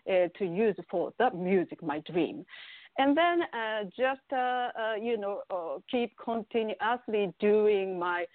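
A woman's voice: medium pitch, dry recording. A Japanese woman in her fifties, a singer being interviewed, speaking English with a Japanese accent and many fillers. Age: 50s. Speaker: guest singer. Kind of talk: interview conversation. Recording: tinny phone-like audio, dry.

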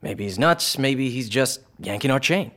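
A streetwise state trooper , played by a man, sizes things up in blunt, plain words. His voice sits in an ordinary middle pitch. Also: expressive, normal speed, fairly bright tone. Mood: wry. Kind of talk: radio drama acting.

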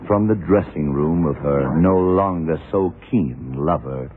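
An actor playing a shrewd, worldly, commanding male detective who explains deliberately, in the style of vintage radio drama. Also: a bass voice, slight background noise. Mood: assured, accusing. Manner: deliberate, hard-boiled explaining. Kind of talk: 1960s-70s radio drama acting. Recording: muffled, narrow-band vintage radio recording.

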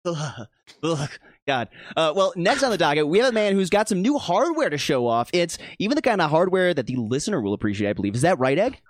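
Male podcast host, casual and slangy, animated delivery, dry close sound. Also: a little high, fast, hardly any pauses, dry recording. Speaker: host of a sports betting show. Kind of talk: casual panel chat, introducing co-hosts.

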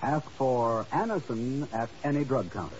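Casting: male vintage radio announcer, mid-pitched, polished and emphatic, scripted pitchman delivery. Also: animated, normal speed, darkish tone. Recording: hissy, muffled vintage radio recording.

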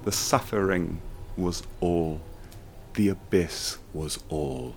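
A sinister male cultist tells his tale in a calm, measured way. His voice sits very low. Loud brooding music runs under every word. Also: heavily acted. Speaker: narrator.

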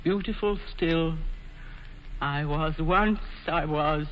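Slow, regal ageing queen. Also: low-pitched. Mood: bitter, wistful. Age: older. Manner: regal, theatrical, deliberate. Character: ageing imperious Queen of England, proud, bitter, lovelorn. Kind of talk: 1940s radio drama acting. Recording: dull noisy old radio recording, hall reverb.

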